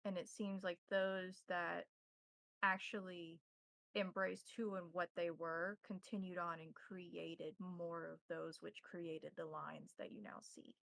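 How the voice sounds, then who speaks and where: A woman speaking as game master in measured, formal phrases, improvising roleplay and narration. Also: fairly low pitch, little pitch movement, creaky, a bit bright, no echo, low-quality recording.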